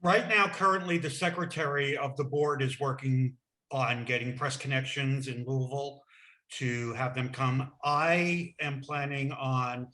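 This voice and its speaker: Man, convention organizer, earnest, bright forceful voice, echoey room. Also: mid-range voice, steady tone, unhurried. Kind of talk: casual video call chat.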